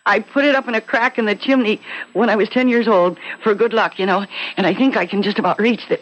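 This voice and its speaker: A woman's voice: mid-range voice, steady tone, fast, natural voice. A dull, band-limited radio recording of a woman playing a young American wife. She is wistful and nostalgic, speaking politely and a little hesitantly in a seventies radio drama style. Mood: delighted, nostalgic. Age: young adult. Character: nostalgic young suburban wife, eager yet apologetic. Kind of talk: 1970s radio drama acting.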